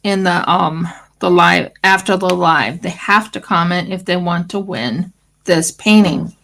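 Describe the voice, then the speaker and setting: A woman's voice: fairly low pitch, a little slow, creaky, a bit bright. A woman with an Australian accent and a scratchy voice, chatting casually and rambling as host of a craft livestream. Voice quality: scratchy morning voice. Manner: rambling, fillers, restarts.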